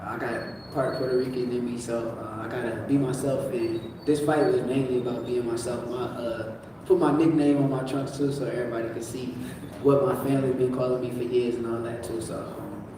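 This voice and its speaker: A male boxer talks in a laid-back, blunt way, pausing with "um" often. His pitch is middling, and the voice sounds distant and heavily echoed. There is noticeable background noise from the room. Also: flat delivery. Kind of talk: press conference answers. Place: large event room. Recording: echoey room picked up from far off.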